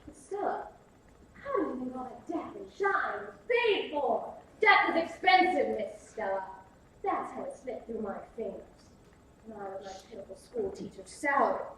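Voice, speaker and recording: An actress playing a fragile, faded belle, theatrical and close to hysteria in a 1950s film drama. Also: female voice, fairly high pitch, expressive, moderate pace, fairly bright tone, a little hiss or hum. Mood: anguished. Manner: theatrical, breathy, swings to near hysteria. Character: fragile, faded Southern belle, highly strung. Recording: echoey, distant old film soundtrack.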